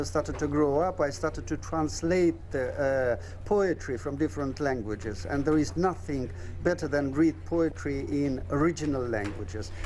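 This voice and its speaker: A man's voice: on the high side, a little mellow, slight background noise. Thoughtful man in a newsroom. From Uzbekistan. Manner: thoughtful, hesitant with fillers. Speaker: editor, interviewee. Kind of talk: live news interview. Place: busy open-plan newsroom.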